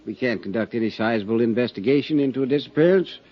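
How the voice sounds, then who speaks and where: An actor playing a gruff, folksy small-town police constable in a 1950s radio drama. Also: male voice, mid-range voice, fast, lightly acted. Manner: folksy, stubborn, drawling. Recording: dull old radio recording.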